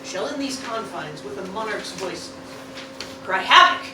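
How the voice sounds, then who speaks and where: A woman's voice: mid-range voice, animated, a little slow, lightly acted. A woman playing a grieving, vengeful wife who declaims mock-Shakespearean speeches in an amateur radio play. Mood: vengeful grief. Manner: declamatory, theatrical. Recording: roomy, distant, clearly noisy room recording.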